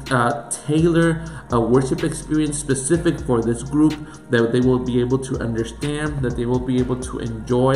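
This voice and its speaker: A man's voice: mid-range voice, a little mellow. A man with a Puerto Rican accent, a pastor giving an earnest teaching talk that runs through a series of questions. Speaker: pastor and church planter. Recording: echoey room with faint music.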